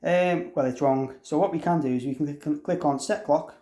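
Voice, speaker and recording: Higher-voiced man giving an echoey app walkthrough. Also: moderate pace, dark tone. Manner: explanatory, conversational, thinking aloud. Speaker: demonstrator presenting a product tutorial. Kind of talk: informal software walkthrough, unscripted. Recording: roomy, echoey room sound.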